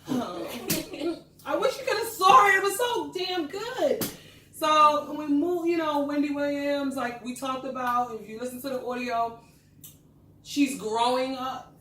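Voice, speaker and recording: A woman's voice: high voice, unhurried, sharp tone. Woman host, chatty, laughing, preachy, roomy echo, off-mic, faint hiss. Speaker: podcast host. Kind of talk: casual group chat. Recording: echoey room, distant mic, faint hiss.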